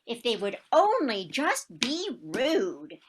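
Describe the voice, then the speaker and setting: A woman performs a frail, feisty old lady from a stage comedy. Her voice is high and very slow, with wide theatrical swings. She is stubborn, proud and indignant. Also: huge pitch swings, background noise, heavily acted. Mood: exasperated. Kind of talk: stage comedy acting, one-act play.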